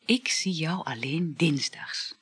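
A woman's voice: sits low, slow pace, fairly bright tone, breathy edge, heavily acted. An actress playing a flighty, teasing, sensual woman with a lover in a Dutch radio drama, murmuring playfully and capriciously. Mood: coy, dreamy. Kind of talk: Dutch radio drama acting, intimate dialogue.

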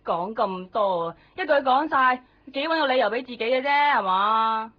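An actress playing a blunt but caring, talkative young friend in a 1990s radio drama, with a Hong Kong Cantonese accent. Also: female voice, a little high, lively intonation, moderate pace, natural voice. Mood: blunt, urging. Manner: teasing, chatty, blunt. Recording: dull 1990s radio recording, some music.

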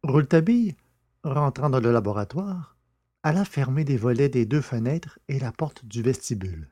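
A man's voice: medium pitch, expressive, moderate pace, dark tone, no room sound. A man reading scripted literary narration as the narrator of an audiobook.

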